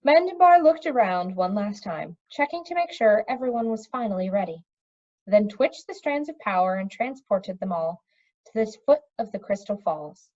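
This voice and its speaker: A woman reading a fantasy story aloud in an expressive storytelling manner. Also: mid-range voice, moderate pace, lo-fi sound. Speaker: audiobook reader. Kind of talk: reading a children's fantasy novel aloud with character voices.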